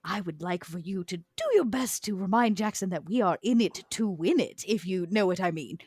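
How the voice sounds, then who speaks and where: A woman's voice: mid-range voice, normal speed, darkish tone, slightly rough, no room sound, close to an everyday voice. Lady playing a poised wry vampire woman in an rpg, coaxing and probing, super dry close recording. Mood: wry, assertive. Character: poised, probing, socially outcast female Toreador vampire. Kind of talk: improvised in-character roleplay.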